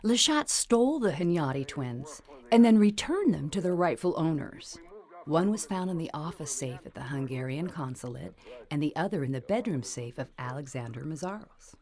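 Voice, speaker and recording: Gossipy gal pal in a radio drama, lowish voice, really animated, earnest. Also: female voice, moderate pace. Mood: excited, incredulous. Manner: expressive, newsy. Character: earnest, gossipy female friend of a socialite.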